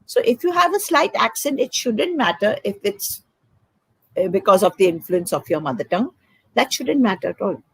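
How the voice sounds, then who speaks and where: A woman's voice: mid-range voice, big pitch swings, normal speed, breathy edge, no echo. An older woman from Karnataka with an Indian English accent, a Konkani speaker, giving emphatic advice as an educator in an interview and repeating her key points. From south India, Karnataka. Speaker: educator, guest. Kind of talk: conversational advice.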